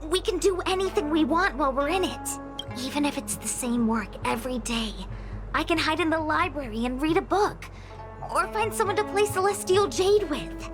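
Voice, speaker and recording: A woman's voice: high-pitched, normal speed, lightly acted. A woman voicing a carefree young slacker diviner in a game, philosophizing in a casual, easygoing way. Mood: carefree, earnest. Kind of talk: scripted game voice acting. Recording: clear background music under the voice.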